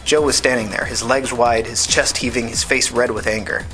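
A man reading fiction as a podcast narrator, in a measured, matter-of-fact scripted audiobook style. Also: mid-range voice, steady tone, sharp tone, soft background music.

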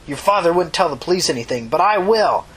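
A woman's voice: on the low side, animated, fast talker, husky, pitched above the performer's own voice, rough recording. A woman voicing a venomous, scornful woman who accuses emphatically in an audiobook story. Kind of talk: audiobook character dialogue.

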